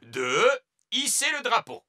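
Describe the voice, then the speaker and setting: A man's voice: sits high, very animated, slow, bright, forceful tone, exaggerated voice. A man voicing a serious, self-important elf mission commander in a French cartoon dub, stern and by-the-book.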